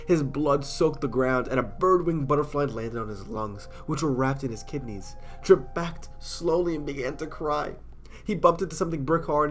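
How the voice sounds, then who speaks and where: Stuffy-voiced man reading horror stories. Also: on the high side. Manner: chatty, self-deprecating, stumbles on words. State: stuffy from a cold. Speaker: storyteller.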